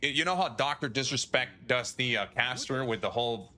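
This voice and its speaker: A man's voice: medium pitch, normal speed, sharp tone. A male streamer chatting casually on a livestream, rambling, swearing and chuckling often.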